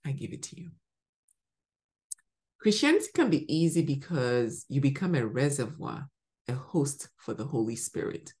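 Woman from ghana preaching on her podcast, low voice but jumps all over, loud then hushed. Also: sing-song, normal speed, pauses often. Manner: emphatic, swinging from bright exclamations to soft murmurs. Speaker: devotional podcast host. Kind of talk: spoken devotional teaching.

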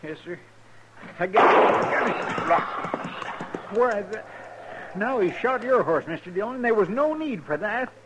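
An actor playing a loyal, anxious frontier sidekick with a rural American drawl in a 1950s radio western, folksy and deferential. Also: male voice, sits high, lively intonation, measured pace, pauses often. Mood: worried. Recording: noisy vintage radio recording.

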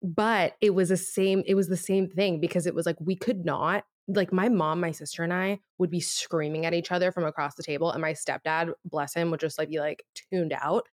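A woman's voice: lowish voice, fairly even intonation, fairly quick, hardly any pauses, no room sound, studio quality. A woman co-hosting a podcast, casually telling personal anecdotes in informal, conversational speech. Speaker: podcast co-host.

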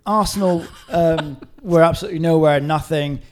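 Middle-aged man, fairly high voice, casual podcast chat, animated fan storytelling, informal and sweary. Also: moderate pace. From Hong Kong and Singapore. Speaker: podcast co-host. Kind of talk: casual chat with football reminiscing.

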